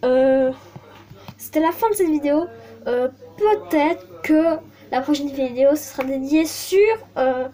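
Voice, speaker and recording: A woman's voice: high voice, unhurried, warm tone, faint music behind. A young woman chatting casually while unboxing toys at her desk, hesitant and full of fillers and apologies. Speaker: vlogger. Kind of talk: casual toy unboxing chat. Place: desk at home, indoors.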